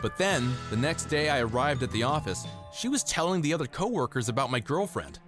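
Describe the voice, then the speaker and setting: A man's voice: medium pitch, expressive, a little fast, close to an everyday voice. A man playing an ordinary young office worker and loyal boyfriend, narrating and acting in a manga dub over music, earnest and easily exasperated. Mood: resentful. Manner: earnest, exasperated when provoked. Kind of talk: dubbed manga narration and dialogue.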